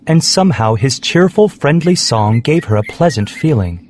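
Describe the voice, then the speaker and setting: A male storyteller reads a scripted children's tale. His medium-pitched voice rises and falls expressively, with faint music underneath. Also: darkish tone. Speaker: narrator.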